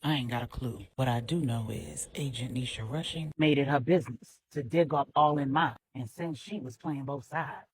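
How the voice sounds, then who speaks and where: A woman's voice: a bass voice, moderate pace, few pauses, pitched below the performer's own voice. A woman storyteller voicing a worried, street-tough crime family member who talks in a blunt, guarded way.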